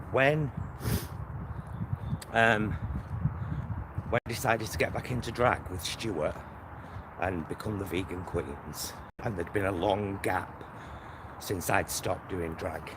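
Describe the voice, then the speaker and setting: A man's voice: fairly low pitch, takes it slowly, pauses often. A man with a Greater Manchester accent chatting casually in a rambling, self-interrupting way, as a vlogger out on a walk. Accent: Northern English, Greater Manchester. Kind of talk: casual walking vlog chat. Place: outdoors, walking by roads and motorway. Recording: outdoor recording with loud traffic noise. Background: loud traffic and outdoor ambience.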